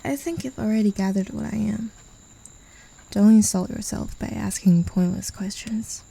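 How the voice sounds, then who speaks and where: A woman's voice: mid-range voice, moderate pace, gravelly, mellow, husky, low-quality recording. A woman voicing a possessive female demon in an ASMR roleplay, talking down to her human pet in a teasing, condescending way, often whispering. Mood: domineering. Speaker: voice actor.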